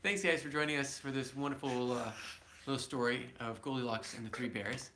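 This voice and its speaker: A man's voice: mid-range voice, fairly quick, background noise. A man reading a children's storybook aloud as a father, adding simple, casual asides to his child.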